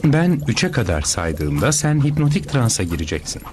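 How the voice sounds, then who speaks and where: A man's voice: medium pitch, very animated, moderate pace, darkish tone, slight background noise, natural voice. A man playing an unflappable, self-important psychotherapist in a dubbed cartoon, soothing and patronizing. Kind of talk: dubbed cartoon character acting.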